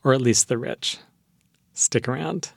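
A man's voice: medium pitch, a little slow, vocal fry, dry recording, studio quality. A man hosting a public radio show, speaking conversationally as an interviewer.

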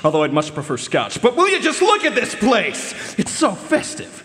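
An actor playing an oily, flamboyant suitor in a live radio drama, smug and theatrically sweet. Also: male voice, high voice, wildly swooping pitch, normal speed, a bit bright, heavily acted. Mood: smug, effusive. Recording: live concert hall with reverb.

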